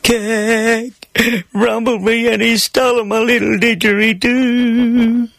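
A man voicing a tearful, excitable little boy who cries out pleadingly in a children's story. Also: quick pace, gravelly, no echo. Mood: sobbing. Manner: crying out in a high pleading voice.

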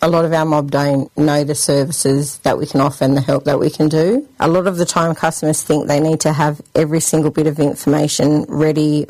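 A woman's voice: sits low, mellow, no echo, rough recording. A woman from Sydney with an Australian accent, answering as an outreach officer in colloquial, conversational language. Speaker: outreach officer, interview guest.

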